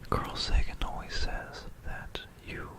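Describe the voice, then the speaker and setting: A breathy whisper from an ASMR host, very slow and deliberate. The storyteller pauses often and softly repeats phrases while walking through history, close on a binaural mic. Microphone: close stereo binaural microphone.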